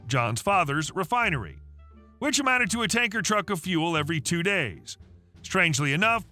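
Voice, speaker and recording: Bright, high-pitched male narrator. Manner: steady, even read-aloud delivery. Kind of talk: scripted narration of online stories.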